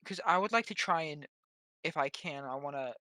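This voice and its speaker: A man's voice: on the high side, animated, normal speed, bright, forceful tone, no echo. A man playing a tabletop roleplaying game, casually asking about the rules.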